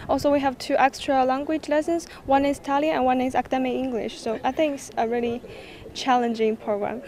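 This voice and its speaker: A teenage exchange student, a woman whose first language is Chinese, answers an interviewer's questions. Her voice sits high, and she speaks hesitant English, pausing and filling gaps as she searches for words. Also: steady tone, darkish tone. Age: teens. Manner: hesitant, many fillers while searching for words.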